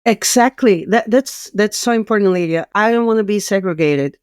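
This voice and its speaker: A woman with a South American Spanish accent speaks in a smooth, clear voice on a dry, close recording. She is a podcast guest who talks with earnest conviction. Her sentences often restart and repeat words as she works through her point. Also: medium pitch, moderate pace, a little mellow, no room sound. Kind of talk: casual podcast conversation.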